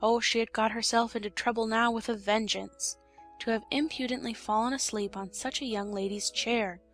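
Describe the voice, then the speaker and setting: A woman reading a children's story as an expressive audiobook narrator. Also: on the high side, moderate pace, faint music behind.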